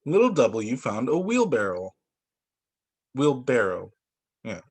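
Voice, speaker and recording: A man working as a teacher, reading children's storybooks aloud in an expressive way. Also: mid-range voice, takes it slowly, husky. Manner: expressive, acts out dialogue for young children.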